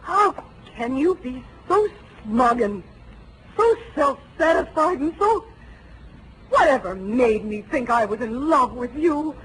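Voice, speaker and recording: A proud, lovelorn dame in old radio. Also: female voice, high-pitched, lively intonation, slow pace, a bit bright, full character voice, poor audio. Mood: indignant, hurt. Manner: dramatic, earnest. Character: passionate, proud lovelorn female suspect, refined. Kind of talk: 1940s radio melodrama acting. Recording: old radio broadcast, faint noise.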